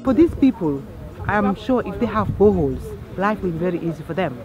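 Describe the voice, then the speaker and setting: A woman's voice: fairly low pitch, lively intonation, a little fast, highs cut off. A middle-aged woman with a Gambian accent, explaining cooking and local life as she hosts. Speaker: host, cook and charity founder. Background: background music.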